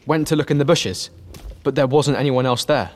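A man's voice: mid-range voice, moderate pace, natural voice. A man playing an earnest, unassuming teenage wizard in an audiobook drama, speaking plainly and a little hesitantly. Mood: matter-of-fact. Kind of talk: full-cast audiobook drama.

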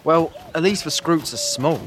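A woman's voice: low-pitched, normal speed, slight background noise, close to an everyday voice. A woman reading an audiobook, voicing a wry, teasing teenage schoolboy.

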